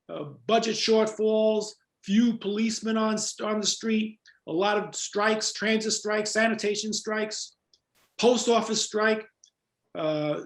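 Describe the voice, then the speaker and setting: A man's voice: high-pitched, fairly bright tone, reverberant. An older man with an American accent, reminiscing and explaining in a conversational interview. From Jamaica-born, raised in New York. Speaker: interview guest, former club promoter.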